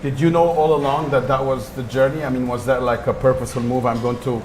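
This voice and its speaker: A man's voice: mid-range voice, steady tone, fast, a little mellow. A man hosting an onstage interview before an audience, courteous, asking long rambling questions with fillers. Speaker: host, interviewer. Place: event hall with audience. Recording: roomy hall with noise.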